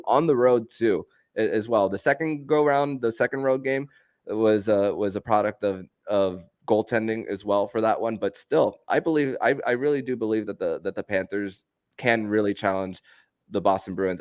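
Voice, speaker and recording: A man hosting a casual sports podcast, speaking haltingly and stammering over repeated words. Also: on the low side, animated, no room sound, clean studio sound.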